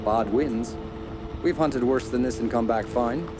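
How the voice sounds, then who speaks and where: A man playing a brisk, authoritative doctor who leads a hunting party in a radio drama. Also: mid-range voice, close to an everyday voice. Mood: dismissive. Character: commanding Scottish doctor leading a hunting party. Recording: loud music and outdoor sounds behind.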